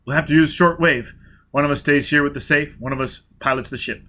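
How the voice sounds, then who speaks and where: A man's voice: a little high, brisk. A man doing a blunt, driven spaceman. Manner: blunt, shouting orders. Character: driven, rough male spaceman.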